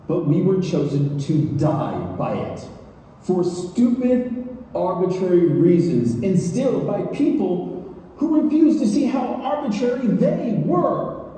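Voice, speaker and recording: A very mellow, slightly high-voiced man performs an earnest staged reading. He speaks deliberately, and the sound is distant, with heavy hall echo. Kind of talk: dramatic staged reading of prose. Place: theatre stage. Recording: echoey theatre hall, distant mic, noise.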